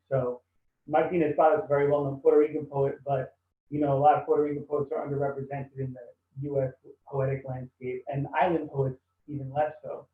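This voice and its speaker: A man reading poetry aloud over a video call from home, with quick asides between poems. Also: a little high. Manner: quick spoken asides, then measured reading. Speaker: poet reading. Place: home, kitchen or similar room. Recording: echoey tinny call audio from far off.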